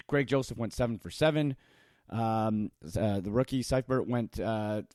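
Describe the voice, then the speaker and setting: A man with a raspy voice hosting a sports podcast, talking casually and with enthusiasm, with many fillers. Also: medium pitch, warm tone, no room sound, clean studio sound. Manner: enthusiastic, talks fast with fillers. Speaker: podcast host.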